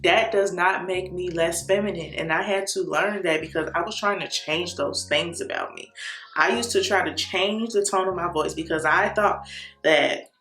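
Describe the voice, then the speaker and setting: A young woman with a Chicago accent, talking to the camera in an energetic, slangy way and laughing often. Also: on the low side, moderate pace. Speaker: vlogger. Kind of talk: casual talk to camera. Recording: echoey room with soft guitar music.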